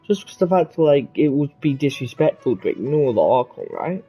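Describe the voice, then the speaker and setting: Male gamer, husky, lively intonation, hesitant, rambling, mumbly, muffled mic, game music behind. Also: fairly high pitch, moderate pace. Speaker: game streamer. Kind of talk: casual off-the-cuff game commentary.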